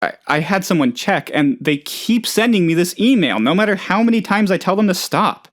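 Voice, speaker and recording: A man voicing a naive, excitable oddball office boss in a video game, chatty and rambling, with hesitant, earnestly gullible delivery. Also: sits high, normal speed. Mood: indignant, uneasy. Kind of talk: video game character dialogue.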